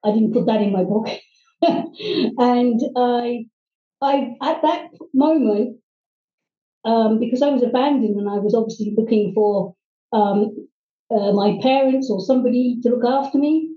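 A middle-aged woman from Hong Kong, telling her life story as a guest, earnestly and haltingly, with many ums and restarts. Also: on the high side. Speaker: guest, private English teacher. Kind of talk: personal storytelling in interview. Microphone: video call. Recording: distant, roomy, dull video-call audio.

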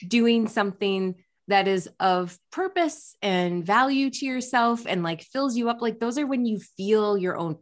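A woman's voice: mid-range voice, expressive, a little slow, few pauses. A woman hosting a podcast interview, talking in a reflective, encouraging way with lots of "like" fillers. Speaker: podcast host. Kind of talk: casual interview chat.

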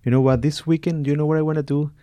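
A man's voice: a little high, steady tone, fast talker, mellow, dry recording, clean studio sound. A man hosting a podcast, interviewing a guest in an easygoing, friendly way. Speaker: podcast host.

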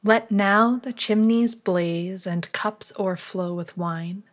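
A woman podcast host reading scripted material aloud in a measured, deliberate manner. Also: mid-range voice. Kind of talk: scripted announcements and poetry recitation.

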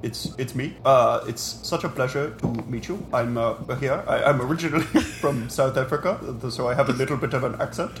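A man playing an awkward billionaire with a blended accent, talking in halting stops and restarts. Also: mid-range voice, reverberant, background noise, natural voice. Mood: hesitant. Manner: halting, full of ums and restarts.

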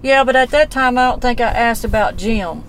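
A woman's voice: fairly high pitch, moderate pace, a bit bright, slight background noise. A woman, questioning a man on a phone call in a blunt, folksy, persistent way. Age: 40s. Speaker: relative investigating a disappearance. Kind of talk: casual phone conversation.

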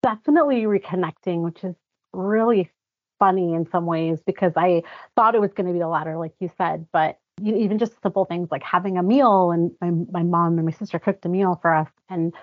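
Chatty lower-voiced woman, dry sound. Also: brisk, dry recording. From Guatemala. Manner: earnest, chatty, many fillers. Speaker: podcast guest. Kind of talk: conversational interview. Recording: dry, slightly muffled audio.

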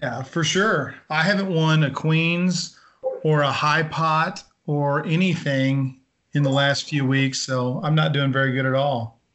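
A man hosting a podcast, asking his guest questions in a friendly, halting way. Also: on the high side, fairly even intonation, fairly bright tone. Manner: friendly, halting, many ums and restarts. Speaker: podcast host. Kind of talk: casual interview.

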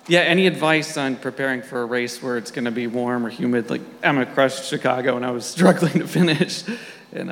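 A man in the audience asking a hesitant question, with ums and a quick apology. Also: mid-range voice, creaky, fairly bright tone. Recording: reverberant hall.

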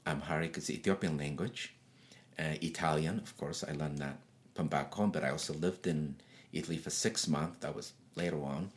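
Deep-voiced man from Eritrea, chatty. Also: moderate pace. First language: Tigrinya. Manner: chatty storyteller, frequent restarts and laughs. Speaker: interviewee. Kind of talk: casual conversational interview.

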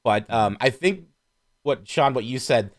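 A man chatting casually as a podcast panelist, critiquing films in an animated, sarcastic way. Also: mid-range voice, a little slow. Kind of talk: casual film criticism chat.

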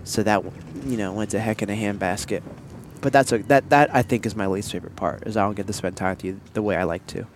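A man co-hosting a casual couple's podcast, chatting in a relaxed, playful way, with sniffles from allergies. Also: fast, slight background noise. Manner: laid-back, playful, jumps up in pitch when excited. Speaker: podcast co-host.

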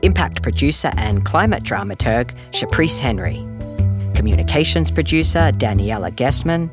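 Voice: medium pitch, lively intonation, normal speed. A podcast host reading scripted credits and announcements in a friendly manner, addressing listeners directly. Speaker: podcast host and series creator. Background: music.

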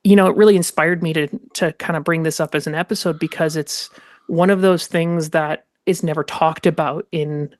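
A woman's voice: sits low, steady tone, moderate pace, a little mellow, no echo, studio quality. A woman co-hosting a podcast, moving between scripted reading and personal discussion. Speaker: podcast host.

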